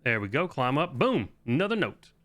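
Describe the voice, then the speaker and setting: Bright-voiced man doing game walkthrough commentary. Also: medium pitch, big pitch swings, moderate pace. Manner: informal, swears freely. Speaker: video game guide host. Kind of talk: casual gameplay walkthrough commentary.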